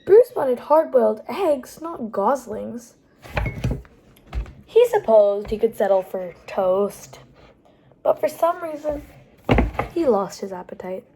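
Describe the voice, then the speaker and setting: A woman reading picture books aloud to young children as a storytime host, animated and pausing to explain things to the listeners. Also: on the high side, background noise. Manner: animated, explains pictures and words as she goes.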